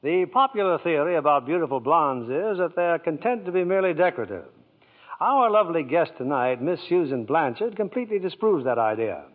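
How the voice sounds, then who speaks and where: A man hosting and narrating a 1940s radio drama with a smooth, showman-like delivery. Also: a little high, very animated, brisk. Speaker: radio producer and host. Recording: tinny 1940s radio broadcast.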